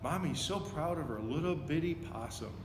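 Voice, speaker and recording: A man's voice: fairly high pitch, animated, moderate pace, lightly acted. A man voicing a fussy, doting and protective mother possum in a storybook. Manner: fussy, gushing. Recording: echoey, reverberant room with noticeable noise.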